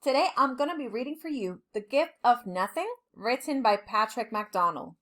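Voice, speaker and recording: A woman reading a children's storybook aloud like a teacher, expressively and deliberately, with dramatic pauses. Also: fairly high pitch, expressive, a bit bright.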